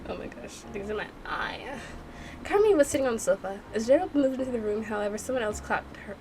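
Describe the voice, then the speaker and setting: A woman's voice: fairly high pitch, animated, fast talker, darkish tone. A woman hosting a gaming channel, casually reacting and narrating with playful, chatty asides. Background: music.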